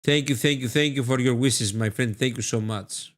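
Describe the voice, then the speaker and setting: A man with a Greek accent hosting a live trading stream, reading viewer comments and answering them warmly, often repeating his phrases. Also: mid-range voice, moderate pace. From Greece. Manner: effusive, repeats phrases, calls viewers "my beloved friend".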